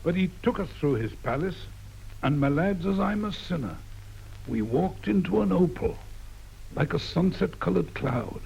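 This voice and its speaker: A man's voice: high-pitched, lively intonation, a little slow, vocal fry, a little mellow, husky. A man reading his own poem aloud in a rhythmic, sing-song recitation, on a dull old tape recording. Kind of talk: poetry recitation.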